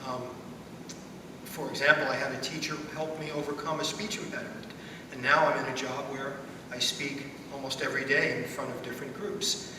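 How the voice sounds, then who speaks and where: A middle-aged man of medium pitch talks reflectively as a panelist. His voice comes from a distance in a very reverberant hall, with clear rumbling noise. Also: level tone, fairly quick. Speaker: panelist, educator. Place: school theater stage, seated at panel table. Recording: echoey hall, distant mic, noisy rumble.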